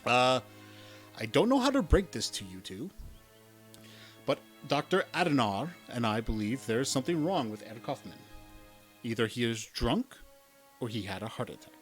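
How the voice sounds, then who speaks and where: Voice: medium pitch, sing-song, normal speed, pauses often, faint music behind, close to an everyday voice. A reader voicing a hesitant, polite young man.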